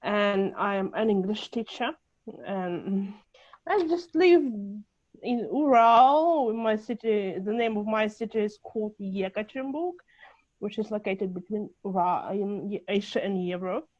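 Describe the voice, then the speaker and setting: A woman's voice: mid-range voice, lively intonation, measured pace, darkish tone, no echo. Russian woman on a dull video call. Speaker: English teacher. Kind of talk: introducing herself in an online group call.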